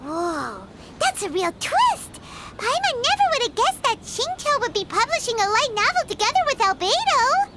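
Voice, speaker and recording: Tiny floaty game fairy, super high kiddie voice, bouncy and blunt, calls herself by name. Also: female voice, sing-song, normal speed, background noise. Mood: astonished. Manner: refers to herself by name in third person. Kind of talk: animated video game character acting.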